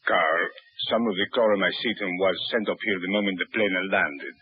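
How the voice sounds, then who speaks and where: A man's voice: deep voice, normal speed, breathy edge. An actor playing a nervous male village doctor who stammers and hesitates, in a 1950s radio drama. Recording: muffled old radio broadcast recording.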